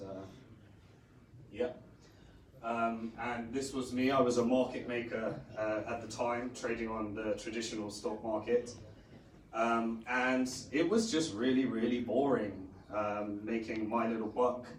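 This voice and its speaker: A middle-aged man with a hoarse, croaky voice gives a rambling conference talk, full of fillers. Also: mid-range voice. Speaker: conference speaker. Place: conference stage. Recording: echoey hall, distant mic, faint noise.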